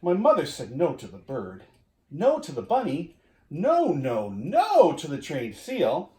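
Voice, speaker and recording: A man reading a children's storybook aloud in an expressive way, with drawn-out emphasis, as if talking to young listeners. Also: fairly high pitch. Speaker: community education director reading to children. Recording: roomy echo.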